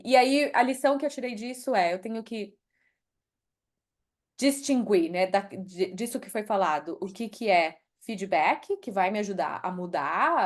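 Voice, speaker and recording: A middle-aged Brazilian woman with a Brazilian accent talks frankly in a podcast interview. Her pitch sits in an ordinary middle range. She reflects aloud, now and then breaking into English phrases. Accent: Brazilian Portuguese. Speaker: guest, HR executive.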